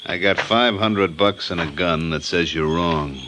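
In an old hissy radio broadcast, a very deep-voiced hitman who stays calm and sardonic. He threatens in a cool, unhurried way, with clear background hiss behind him. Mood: menacing. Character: cool menacing male hitman, 1950s gangster. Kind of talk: 1950s radio drama acting.

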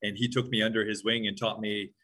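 Low husky-voiced man, calm guest. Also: quick pace. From Hawaii. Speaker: interview guest. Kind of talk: casual interview answers.

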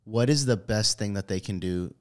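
A man's voice: fairly low pitch, moderate pace, a little mellow. A man hosting a podcast, laid-back and a bit hesitant as he frames his questions. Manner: laid-back, says dude, hesitant when framing questions. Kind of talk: casual interview.

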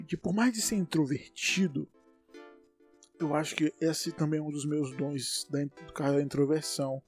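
A man's voice: on the high side, darkish tone. Young Bahian man hosting a solo podcast. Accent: Bahian Portuguese. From Salvador, Bahia, Brazil. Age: 20s. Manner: rambling, self-correcting, thinks aloud. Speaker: podcast host. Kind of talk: unedited solo podcast monologue.

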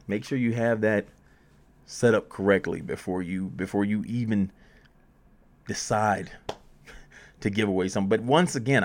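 A man with a fairly low voice talks like an informal coach giving practical advice. His delivery is conversational and loose, and he often repeats a phrase to drive the point home. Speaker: music promotion advice presenter. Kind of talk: casual conversational advice talk.

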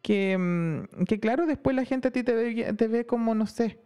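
Chilean guy cohosting a podcast, smooth clear voice, talks loose and slangy with lots of restarts. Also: very high voice. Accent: Chilean Spanish. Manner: slangy, animated, halting restarts. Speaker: podcast co-host. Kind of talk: casual chat.

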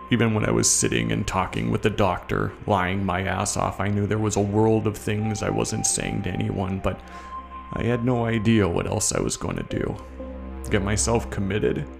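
A man playing a haunted, guilt-ridden war veteran in a horror audio story, confessing in a coarse, halting, gravelly way. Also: lowish voice. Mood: bitter, defensive. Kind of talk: first-person horror audio story narration.

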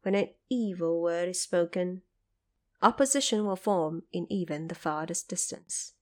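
A woman playing a compassionate female Bodhisattva in an audio drama, speaking in a measured, formal, instructive way. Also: mid-range voice, close to an everyday voice. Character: female Bodhisattva, serene, compassionate, gently stern.

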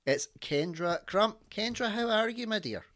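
A man's voice: high-pitched, lively intonation, moderate pace, a bit bright. Jokey Scottish man in his thirties. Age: 30s. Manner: jokey, self-deprecating. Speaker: podcast host. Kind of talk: casual interview chat.

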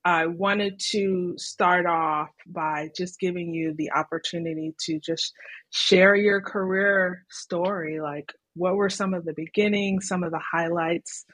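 Breathy woman hosting a podcast. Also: on the low side, steady tone, a little slow, fairly bright tone, no room sound, rough recording. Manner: hesitant, many fillers, friendly. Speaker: podcast host. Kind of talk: podcast interview.

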